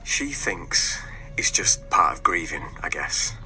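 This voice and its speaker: A man's voice: deep voice, sing-song, slow, sharp tone, in a whisper, faint music behind, full character voice, rough recording. An actor playing a middle-aged husband of a missing woman in a naturalistic radio drama, hesitant and evasive, with clipped denials. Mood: guarded, subdued.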